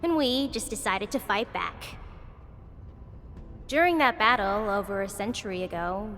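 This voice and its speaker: A woman's voice: mid-range voice, measured pace, a bit bright, slight background noise. Composed woman in long reverb. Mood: explanatory. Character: composed, confident woman comrade explaining the lore. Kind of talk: video game voice acting.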